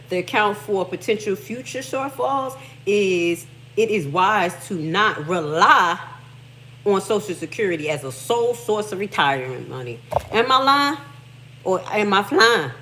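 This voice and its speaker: A woman's voice: medium pitch, a bit bright, slight background noise, low-quality recording. A middle-aged Haitian American woman hosting a livestream, talking casually and emphatically. She reads text aloud, comments on it, and swears freely. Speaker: livestream host.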